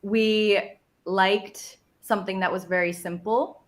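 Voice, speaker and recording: A young woman from Finland, a company co-founder, answering interview questions in a relaxed, friendly way over a video call. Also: medium pitch, takes it slowly. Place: home room, video call. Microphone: video call webcam.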